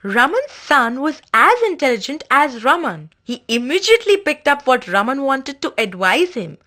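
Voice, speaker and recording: A woman's voice: high-pitched, sing-song, moderate pace, bright, forceful tone. A woman presenting to children in a cheerful, instructive way, teaching new words. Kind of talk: teaching vocabulary to kids.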